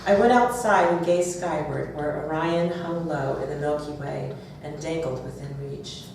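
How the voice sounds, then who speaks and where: A woman's voice: deep voice, moderate pace, vocal fry, darkish tone. A woman author speaking and reading aloud at a live event. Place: bookstore, audience event. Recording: roomy, distant, noisy live event recording.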